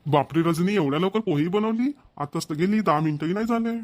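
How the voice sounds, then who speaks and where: Man doing a proud doting village dad, middle-aged, slightly high voice, earnest, rural maharashtrian marathi accent, cartoon. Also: a little fast, a little mellow, close to an everyday voice. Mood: impressed. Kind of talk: Marathi cartoon family comedy voice-over.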